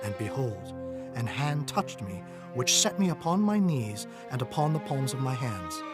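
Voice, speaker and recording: An awed prophet, voiced by a man, recounts a holy vision over a music bed. His mid-range voice is solemn, humble and measured, in the manner of a dramatized scripture recording. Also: mid-range voice, very animated, lightly acted. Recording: music behind the voice.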